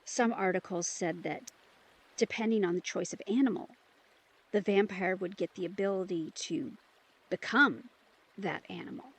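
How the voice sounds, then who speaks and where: A woman playing a soft-hearted, pleading young vampire in an audio drama, speaking haltingly and emotionally. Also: mid-range voice, husky. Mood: subdued, explaining. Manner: halting, emotional, breaks sentences off. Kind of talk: immersive audio drama acting.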